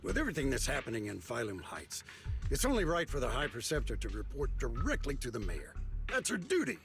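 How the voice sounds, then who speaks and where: A stern elder of a martial sect in a video game. He is formal and measured, laying down duty with cold authority. His mid-pitched voice moves widely in intonation, over soft background music. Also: wildly swooping pitch, gravelly. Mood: stern, reproachful.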